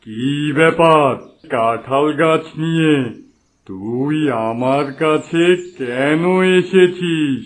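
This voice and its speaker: A man's voice: a little high, slow. A man voicing a stern holy man in a cartoon moral tale, who admonishes and guides. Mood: stern, questioning. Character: stern male holy man, scolding yet guiding.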